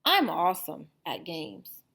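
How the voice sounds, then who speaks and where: A woman's voice: on the low side, big pitch swings, measured pace, vocal fry, a bit bright, exaggerated voice. A woman voicing a vain, boastful young girl who admires herself, in a storybook read-aloud. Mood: smug, preening.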